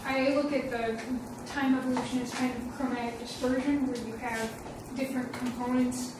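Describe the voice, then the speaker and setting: High-voiced woman, distant in echoey room. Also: level tone, normal speed, background noise. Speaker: student asking questions. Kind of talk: spontaneous classroom question. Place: lecture room, far from mic.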